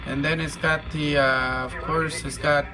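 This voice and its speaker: A man giving a casual, hands-on demo of gear he is selling, talking haltingly as he thinks aloud. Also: fairly high pitch. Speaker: radio seller demonstrating gear. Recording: clear background noise, steady hum.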